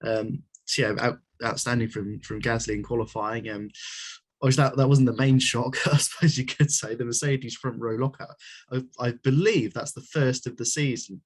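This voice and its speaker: A man with a cold speaks as co-host of a casual podcast, joining over a video call. He rambles through long answers with many fillers and restarts, and often breaks into small laughs. His voice sits at a middle pitch. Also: fairly even intonation, normal speed. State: has a cold.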